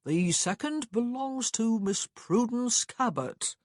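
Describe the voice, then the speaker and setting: A woman's voice: on the high side, lively intonation, slow, a little mellow, dry recording, clean studio sound, voice pushed up. A woman voicing a nosy, officious male stagecoach clerk in an audiobook, chatty and rambling. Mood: prying. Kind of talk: acted audiobook dialogue.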